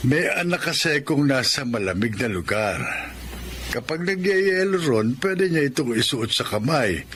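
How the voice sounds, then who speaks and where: An actor playing a kind, gentle widowed grandfather in a radio drama, speaking softly and patiently. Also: medium pitch, lively intonation, unhurried, a little hiss or hum. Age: older. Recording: music underneath.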